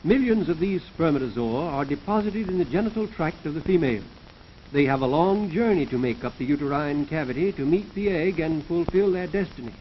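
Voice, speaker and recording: A man giving formal, measured, scripted narration in the manner of a 1930s educational science film, lecturing as the narrator. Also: fairly high pitch, lively intonation. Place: recorded voice-over for a film. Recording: dull, noisy 1930s film soundtrack.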